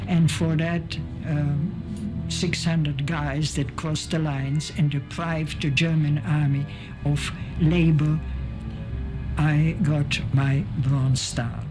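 An older woman veteran recalling wartime service in an interview, in a halting manner. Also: low voice, steady tone, over music. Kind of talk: interview reminiscence.

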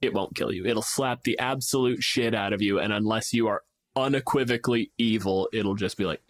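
A man's voice: lowish voice, a little fast. Man chatting casually as podcast co-host. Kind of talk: casual tabletop gaming discussion.

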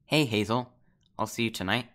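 A man's voice: on the low side, moderate pace, a bit bright, lightly acted. A man voicing a teenage schoolboy in an amateur game movie, laid-back and a bit cocky. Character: teen schoolboy Jacob, cocky yet guarded.

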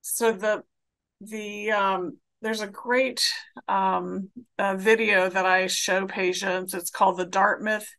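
A woman psychiatrist walks through her slides on a video call, explaining in plain clinical wording. Her mid-pitched voice carries a gravelly vocal fry, with occasional fillers as she goes. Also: level tone, slow, fairly bright tone, low-quality recording. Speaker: psychiatrist presenting. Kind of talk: conference presentation with slides. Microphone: video call webcam.

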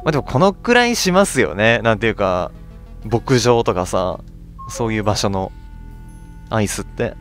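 A man's voice: on the low side, big pitch swings, moderate pace, faint music behind. A man hosting a let's play, chatting casually to viewers and reading bits of on-screen text aloud as he goes.

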